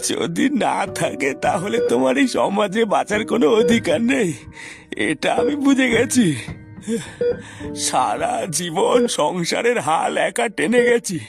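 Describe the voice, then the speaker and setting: Meek old Bengali husband for cartoon comedy, high creaky voice, animated, plaintive delivery. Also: male voice, a little slow, over music, heavily acted. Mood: sorrowful, resigned. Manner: plaintive, pleading, repeats little interjections.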